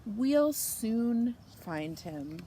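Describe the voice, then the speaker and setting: A woman voicing a kind, practical woman farmer in a storybook, speaking in a calm, reassuring way. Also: a little high, very slow, a little hiss or hum, exaggerated voice.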